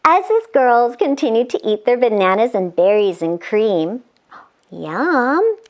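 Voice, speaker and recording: Animated, high-voiced woman reading a storybook. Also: a little slow. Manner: playful, exclaiming asides to young listeners. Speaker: children's book narrator. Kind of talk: expressive storybook read-aloud.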